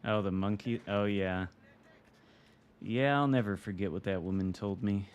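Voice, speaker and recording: A man from Michigan with an American accent, commenting casually while streaming a game from home, wry and relaxed. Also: on the low side, normal speed, clear, resonant tone. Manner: relaxed, wry, reacting to the game with mild swearing. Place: home room.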